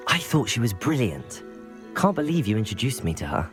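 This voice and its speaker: Actor as polite student hero, formal, lively intonation, soft game music. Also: male voice, medium pitch, brisk, close to an everyday voice. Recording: game audio with music behind.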